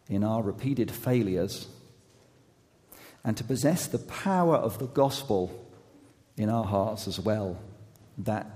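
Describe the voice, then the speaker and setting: A man preaching a sermon as an associate youth pastor, his voice going hoarse, speaking haltingly with many fillers and restarts and gentle self-deprecating humor. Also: on the low side. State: losing his voice. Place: church sanctuary. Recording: long hall reverb.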